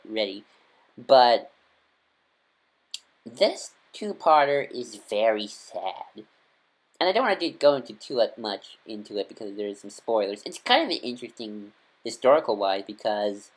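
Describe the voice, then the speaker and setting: A man's voice: on the low side, rough recording. A man giving casual, unscripted recommendations as a fan reviewer, rambling hesitantly and often correcting himself. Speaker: vlogger reviewing audio dramas.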